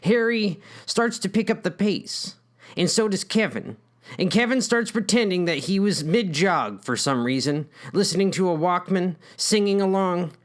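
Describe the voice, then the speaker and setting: A man's voice: high-pitched, lively intonation, moderate pace. A man narrating his own comic story in an informal, mock-dramatic way with conversational asides.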